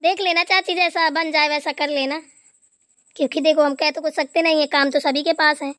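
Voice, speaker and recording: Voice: sits high, flat delivery, brisk, pushed and bright, no room sound, clean studio sound. A performer voicing a dutiful, overworked village daughter-in-law in a Hindi cartoon, chatty and deferential. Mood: polite, tired. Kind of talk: Hindi family cartoon dialogue.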